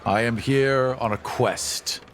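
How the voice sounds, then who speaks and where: A man's voice: medium pitch, slow, a bit bright, a little hiss or hum. A man playing a stern, stubborn armored crusader in a tabletop game, speaking formally and pompously. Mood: stern refusal. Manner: formal, declaring.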